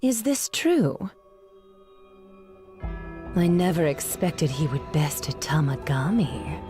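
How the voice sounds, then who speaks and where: A woman playing a cool, scheming demon villainess in a game, measured and commanding. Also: sits low, very animated, music in the background, full character voice. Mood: calculating.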